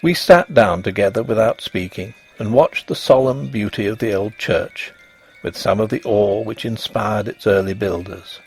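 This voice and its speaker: A man narrating a ghost story in an expressive, measured and dramatic scripted reading. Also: lowish voice, slightly rough.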